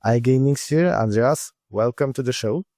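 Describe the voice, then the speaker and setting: A man's voice: mid-range voice, moderate pace, warm tone, dry recording, studio quality. A man hosting a podcast interview, asking long, winding questions with frequent hesitations. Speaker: podcast host.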